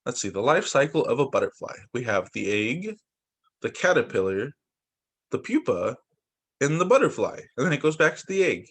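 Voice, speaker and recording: A man's voice: medium pitch, big pitch swings, moderate pace, fairly bright tone. A young man, a teacher, reading picture books aloud to children over a video call and breaking in with little asides and exclamations. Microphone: computer mic on a video call.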